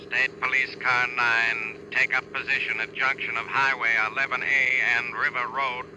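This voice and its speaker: An actor playing an impersonal American police radio dispatcher reading bulletins in an official, emphatic 1940s radio style. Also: male voice, medium pitch, little pitch movement, moderate pace, close to an everyday voice. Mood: urgent, official. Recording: old radio broadcast, faint noise.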